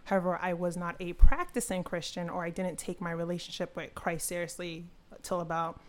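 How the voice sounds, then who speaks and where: A woman's voice: fairly low pitch, steady tone, a little fast. A woman in her twenties, a podcast guest casually sharing her personal story. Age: 20s.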